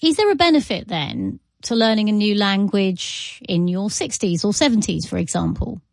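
A woman's voice: mid-range voice, sing-song, moderate pace, creaky, no room sound. Dry-sounding woman radio presenter. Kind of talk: scripted radio presenting and interviewing.

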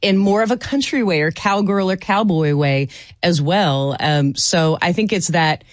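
A woman speaking as a guest on a radio panel, thoughtful and quick to laugh. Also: lowish voice, no room sound, clean studio sound. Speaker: guest expert.

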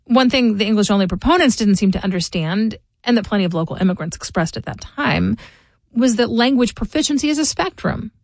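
A middle-aged woman hosting a local news podcast, reading scripted commentary in a measured, informative way. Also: medium pitch, lively intonation, creaky, dry recording, studio quality. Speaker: podcast host.

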